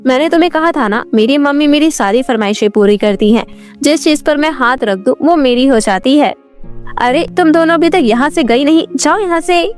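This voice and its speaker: A woman voicing an arrogant, sharp-tongued young college woman in an audio storybook. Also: high voice, lively intonation, fairly quick, soft background music. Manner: haughty, sharp. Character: arrogant, snappish young college woman ashamed of her family.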